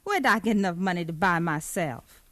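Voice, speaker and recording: An actress in a 1950s radio drama playing a bold, sassy enslaved street seller who talks in a teasing, plain-spoken way. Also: female voice, on the low side, lively intonation, moderate pace, fairly bright tone, no echo. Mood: teasing, amused.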